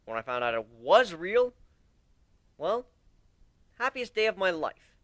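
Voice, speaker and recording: An earnest, nerdy young griffin, played by a man in a dramatic story reading. He rambles in a high, very animated voice, full of self-deprecation. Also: measured pace, dry recording, studio quality, full character voice. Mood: earnest, bitter.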